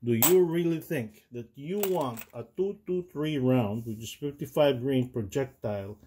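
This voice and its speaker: A man's voice: medium pitch, dark tone. A man whose first language is Tagalog, hosting a casual explainer video, talking hesitantly with many fillers and restarts. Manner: slow, deliberate. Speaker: channel host.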